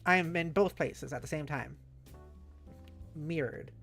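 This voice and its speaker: Low-pitched woman streamer chatting over music. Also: unhurried, creaky, a bit bright. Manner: sweary, playful, reacting aloud. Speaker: livestream host. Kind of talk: casual livestream chat. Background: faint music.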